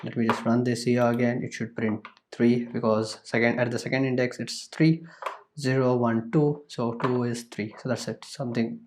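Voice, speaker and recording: A man's voice: mid-range voice, flat delivery, normal speed, a little mellow, a little hiss or hum. A man explaining programming while running code on his screen, in a loose, repetitive way with many fillers and restarts. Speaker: programming tutor. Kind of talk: screen-recorded coding tutorial.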